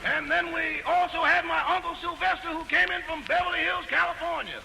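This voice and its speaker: An actor playing an easygoing male valet who phones his boss on a 1940s radio comedy, with a gravelly voice and wry, unhurried timing. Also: very high-pitched, fairly even intonation. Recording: noisy old radio broadcast.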